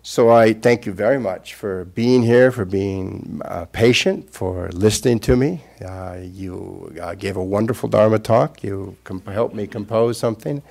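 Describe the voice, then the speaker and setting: An older man, a Buddhist teacher, giving an unscripted Dharma talk in a gentle, reflective way with pauses. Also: lowish voice, gravelly.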